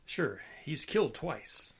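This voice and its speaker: An actor playing a brisk, practical police lieutenant in an old-time radio drama. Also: male voice, on the high side, sing-song, creaky, a little hiss or hum, exaggerated voice. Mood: businesslike.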